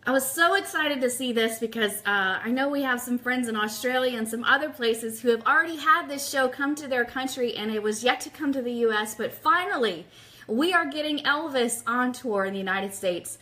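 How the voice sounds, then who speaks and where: A woman's voice: on the high side, normal speed, bright, forceful tone. A woman hosting a live-streamed fan radio show, chatting informally and upbeat as she gives announcements and greets viewers.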